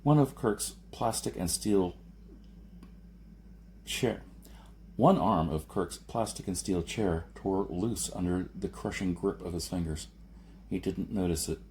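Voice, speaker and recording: A man's voice: deep voice, slow, pauses often, a little mellow, slight background noise. A man reading a science fiction story as scripted narration, in the manner of an amateur audiobook reader. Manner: amateur reading with slips and retakes.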